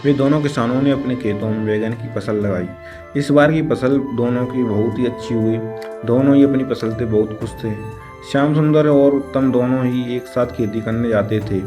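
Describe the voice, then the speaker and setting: A man's voice: medium pitch, moderate pace. Male storyteller with music behind. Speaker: narrator. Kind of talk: scripted children's moral story narration.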